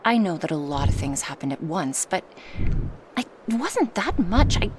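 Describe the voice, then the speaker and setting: A woman's voice: lowish voice, big pitch swings, normal speed, background noise. A woman voicing a huge, proud dragoness in an ASMR roleplay, speaking formally to her human, teasing yet protective, at times whispery. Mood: teasing, reassuring. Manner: slightly formal phrasing, teasing, reassuring. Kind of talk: ASMR roleplay monologue addressed to the listener.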